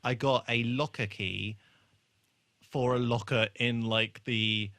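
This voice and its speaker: A man's voice: medium pitch, fairly even intonation, slow, bright, forceful tone, no echo. A man co-hosting a podcast in casual chat, talking in a jokey, rambling way. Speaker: podcast co-host.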